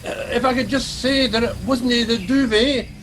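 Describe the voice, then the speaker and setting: Scottish ship's engineer, high voice, gloomy, evasive, comic radio play. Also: male voice, normal speed, fairly bright tone, slight background noise, rough recording. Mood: sheepish. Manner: doom-laden, evasive. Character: gloomy Scottish starship engineer, prone to predicting doom.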